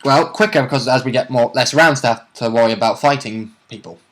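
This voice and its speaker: A man's voice: mid-range voice, a little fast, a bit bright. A man co-hosting a gaming vidcast with casual banter, chipping in bluntly and jokingly. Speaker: co-host of a tabletop roleplaying vidcast.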